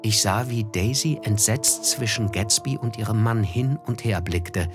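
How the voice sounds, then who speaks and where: A man's voice: fairly low pitch, lightly acted. Actor as reflective male narrator over music. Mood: uneasy. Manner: reflective, measured. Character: reflective, melancholy thirty-year-old male narrator of a novel. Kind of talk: literary radio play narration.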